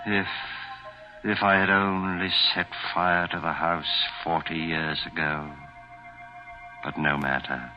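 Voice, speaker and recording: A tormented older man with a low voice, confessing in melodramatic, despairing style. It is 1940s radio horror acting, heard through a dull old broadcast with music underneath. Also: little pitch movement, slow. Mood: bleak, resigned. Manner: melodramatic first-person confession.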